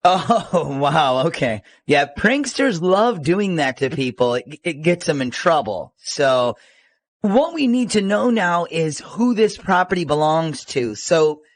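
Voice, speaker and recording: A man's voice: sits high, big pitch swings, a little slow, dry recording, exaggerated voice. A man playing a polite, businesslike, reassuring police detective on a prank phone call. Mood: reassuring, casual.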